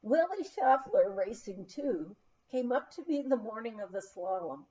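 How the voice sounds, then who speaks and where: A woman reading a memoir aloud as an audiobook reader, in an animated, unhurried storytelling way. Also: a little high. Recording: dull, lo-fi recording.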